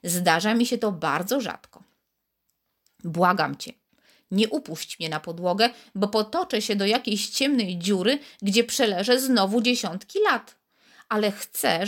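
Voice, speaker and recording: A tiny enchanted blue bead speaks in a thin, high little voice, voiced by a woman reading aloud. He is fussy and easily impatient, grumbling at the girl who found him. Storybook reading. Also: animated, moderate pace, a bit bright. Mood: solemn. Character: magic blue bead, small male, fussy.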